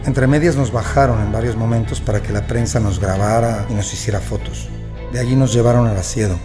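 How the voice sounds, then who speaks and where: A man's voice: fairly low pitch, steady tone, fairly quick, natural voice. An actor in an audio drama playing a wronged male prisoner who recounts his ordeal as sober testimony. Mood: pained. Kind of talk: first-person audio drama narration. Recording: music bed behind the voice.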